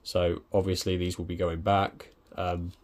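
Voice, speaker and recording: A man's voice: low-pitched, level tone, unhurried. A young man talking casually through an unboxing at his desk, hesitant with many ums and restarts. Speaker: tech reviewer. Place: indoors at a desk.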